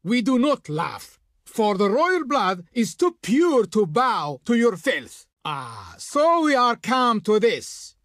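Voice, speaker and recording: Imperious ancient Egyptian diplomat, pompous, scornful, highly animated pitch, dry close sound, video game. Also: male voice, takes it slowly, dry recording, exaggerated voice. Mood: scornful, threatening. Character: haughty, scornful male Egyptian envoy of the Pharaoh. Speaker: diplomat character in a strategy game. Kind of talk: theatrical scripted game voice lines.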